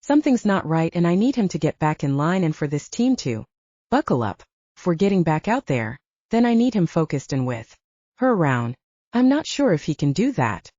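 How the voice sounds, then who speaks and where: A stern male leader of a werewolf army unit, voiced by a female narrator, from an audiobook. The delivery is curt and commanding, on the low side and soft-edged in tone, with a slightly muffled recording. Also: big pitch swings, moderate pace, no echo. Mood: grim, demanding. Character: stern, short-tempered male leader of a werewolf military team.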